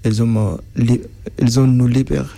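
A man's voice: mid-range voice, level tone, brisk, creaky, warm tone. A young man from Sudan, a native Arabic speaker, answering interview questions in French with frequent restarts as he searches for words.

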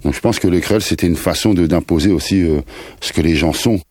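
A man's voice: low voice, quick pace, darkish tone, no echo, studio quality. A man in his fifties from the Paris suburbs, a musician chatting in a rambling, slangy way with bits of Creole. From France, Paris suburbs. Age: 50s. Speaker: musician guest. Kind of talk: casual interview chat.

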